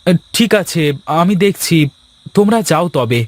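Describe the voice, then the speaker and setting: A man voicing a well-off village husband, fretful yet caring, in a cartoon fairy tale with drawn-out, expressive delivery. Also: sits high. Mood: concerned.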